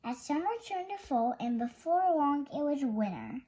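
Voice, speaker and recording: Child storyteller, high pitch, animated intonation, unhurried halting read-aloud. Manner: halting young reader, stumbles and self-corrects. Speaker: storyteller reading a children's picture book.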